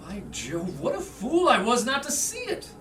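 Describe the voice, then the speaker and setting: A woman's voice: a little high, huge pitch swings, moderate pace, crowd noise. A woman playing a devoted husband home from war in staged reader's theater, earnest and romantic. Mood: astonished. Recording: roomy, distant mic, faint noise.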